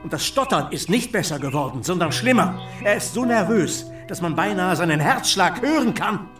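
A man's voice: high voice, animated, normal speed, over music. A male actor in a radio play as a stern, exasperated provincial lawyer, speaking formally and emphatically. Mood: bitter, exasperated. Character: exasperated provincial French lawyer, liberal-minded, stern disappointed father.

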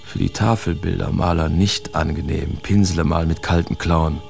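A man's voice: low voice, fairly even intonation, normal speed, gravelly, darkish tone, faint music behind. An actor playing a brash, cynical big-city painter declaiming his feverish letters in a dramatic radio reading. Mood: bleak, wry.

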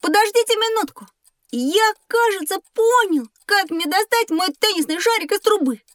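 Excitable high-pitched schoolboy. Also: female voice, normal speed, no room sound, clean studio sound. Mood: eager, grateful. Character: curious, excitable schoolboy Petya, eager and skeptical. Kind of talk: scripted educational audio drama.

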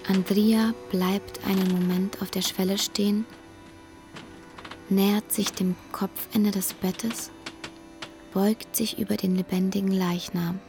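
A woman acting in a German radio drama as a weary, guilt-ridden carer, speaking with restraint and often hushed. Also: medium pitch, flat delivery, slow pace, faint music behind. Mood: grave, hushed. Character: weary, guilt-ridden woman caring for her dying aunt. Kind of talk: German radio drama acting, dialogue and intimate inner monologue.

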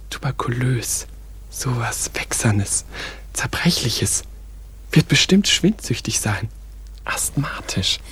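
An actor playing an exasperated, musing maestro in a radio play, with a breathy, half-whispered delivery. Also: male voice, medium pitch, full character voice. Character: musing, exasperated gentleman maestro, pleading and reproachful.

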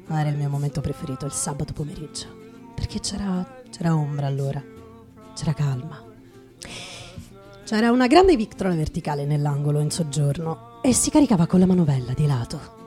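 A woman playing a world-weary, intense male writer in a theatrical radio reading, halting and repeating phrases. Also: low-pitched, lively intonation, mellow, faint music behind. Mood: wistful, confiding. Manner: halting dramatic delivery with repeated phrases and pauses. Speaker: stage actress performing a literary monologue.

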